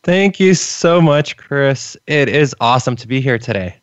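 A man with a North American accent, born in Poland and raised in Canada, answering interview questions in a relaxed way. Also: mid-range voice, unhurried, dry recording. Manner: relaxed, laughs now and then, fillers. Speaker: guest, freelancing coach. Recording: dry, dull lo-fi audio.

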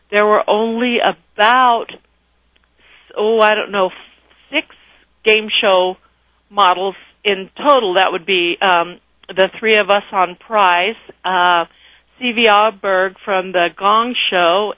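A woman, a guest telling her story in a radio interview, lively and animated. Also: mid-range voice, slow pace, no room sound, muffled recording. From Texas, raised in California.

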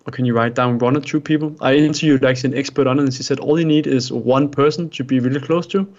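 Danish male guest, native Danish, mid pitch, earnest coaching tone, muffled recording. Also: fairly even intonation, quick pace, darkish tone. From Denmark. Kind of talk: interview answers. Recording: dull lo-fi sound.

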